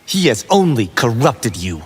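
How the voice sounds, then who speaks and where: A man's voice: mid-range voice, sing-song, unhurried, noisy background. An actor playing a defiant male fighter who speaks in a blunt, challenging way.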